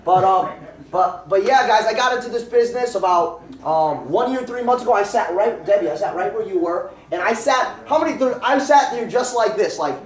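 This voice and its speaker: A man in his twenties giving a joking, fast and repetitive motivational pep talk at a home meeting in a packed living room. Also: sits high, expressive. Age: 20s. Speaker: network marketing presenter. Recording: echoey room, voice from far off.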